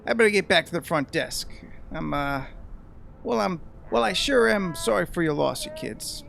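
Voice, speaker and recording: A man playing an awkward, apologetic front-desk receptionist in an audio drama, folksy and halting. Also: high voice, big pitch swings, creaky, a bit bright, full character voice. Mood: flustered, apologetic.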